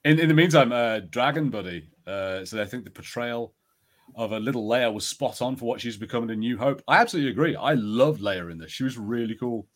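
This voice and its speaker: A man's voice: medium pitch, a little fast. A man co-hosting a podcast, giving his opinions in casual, conversational panel chat. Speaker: podcast co-host.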